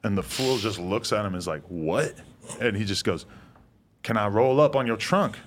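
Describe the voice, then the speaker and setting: A man's voice: fairly low pitch, normal speed, slight background noise. A young man hosting a casual podcast, telling loose, rambling stories full of fillers. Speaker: podcast host. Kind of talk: casual chat.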